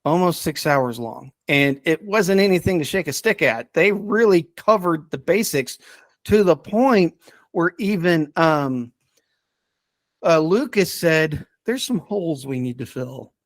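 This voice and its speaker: A man hosting a casual podcast, talking enthusiastically but haltingly as he searches for names and details. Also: on the high side, lively intonation, dry recording. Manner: enthusiastic about the subject. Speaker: podcast host.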